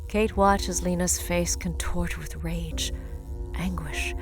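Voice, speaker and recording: A woman narrating a dark audio drama as a solemn storyteller, in a measured, grave, scripted style. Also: on the low side, fairly even intonation, over music. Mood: grave, suspenseful.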